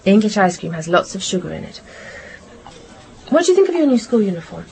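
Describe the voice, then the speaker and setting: An actress in a radio drama playing an anxious English mother who speaks softly and soothingly to reassure. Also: medium pitch, sing-song, a little fast, gravelly, rough recording. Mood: fond, chatty. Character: English mother, anxious but gently reassuring her child.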